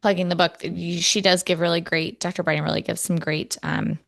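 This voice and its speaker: A woman's voice: lowish voice, flat delivery, a little fast, gravelly, a bit bright, dry recording, studio quality. A woman hosting a podcast, chatting conversationally with fillers as she steers the interview. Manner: conversational, with fillers and agreeing murmurs. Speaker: podcast host. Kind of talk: casual interview chat.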